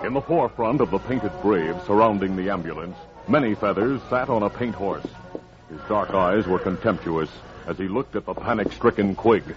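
A man's voice: fairly low pitch, normal speed, over music. A man narrating an old radio western in a dramatic, scripted style. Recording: dull, noisy old radio recording.

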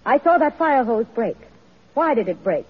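A woman's voice: fairly high pitch, sing-song, moderate pace, husky. An actress playing a principled, determined woman social reformer in a radio drama, speaking earnestly and firmly. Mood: righteous, firm. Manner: earnest, firm, declarative. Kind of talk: vintage radio drama acting. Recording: muffled vintage radio, music behind.

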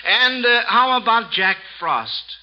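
A man's voice: very high-pitched, expressive, slow. A middle-aged American man hosting a 1940s radio quiz show in a folksy, encouraging, chatty way with children. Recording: dull lo-fi old radio recording.

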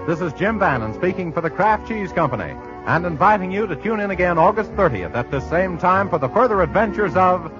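A male announcer reads a scripted sign-off in 1940s radio style. His voice is fairly high and swings animatedly. The recording is dull, with clear music behind. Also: animated, a little fast. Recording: dull vintage broadcast, music and audience behind.

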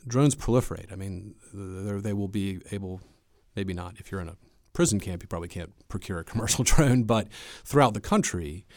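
A man speaking as an expert guest in an interview, explaining in a measured, conversational way. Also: sits low, a little mellow, no echo, studio quality.

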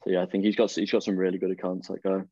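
A man's voice: sits low, little pitch movement, rapid-fire, dark tone, no echo. A young man, chatting as a podcast guest and rambling through plenty of fillers and restarts. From the Wirral, England. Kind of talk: casual chat. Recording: dull lo-fi, dry.

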